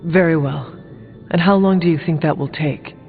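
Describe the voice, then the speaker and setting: Higher-voiced solemn warrior hero. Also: male voice, gravelly. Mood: solemn, firm. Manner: formal, deliberate, terse. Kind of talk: video game voice acting. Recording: muffled narrow-band sound with long reverb. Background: music.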